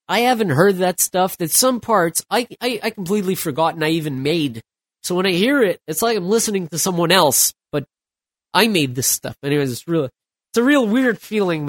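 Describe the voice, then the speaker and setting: A man's voice: high voice, dry recording, studio quality. A man in his thirties hosting his own podcast alone late at night. He is stuffed up from a cold and rambles loosely, with many restarts and fillers. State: stuffed up, getting over a cold. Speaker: podcast host.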